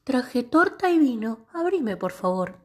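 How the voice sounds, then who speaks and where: Sly storybook wolf, played by a woman: husky, coaxing, sweetly deceitful, radio play. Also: a little high, sing-song, unhurried, darkish tone. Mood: feigned sweetness. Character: sly, smooth-talking male wolf of a fairy tale. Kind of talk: school radio play acting.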